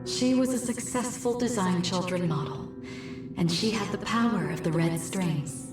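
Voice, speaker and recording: An actress voicing a machine posing as a gentle mother in a video game, calm and manipulative, pleading in a solemn, measured way. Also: medium pitch, close to an everyday voice. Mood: solemn, coaxing. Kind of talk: video game cutscene acting. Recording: very echoey, distant voice, music behind.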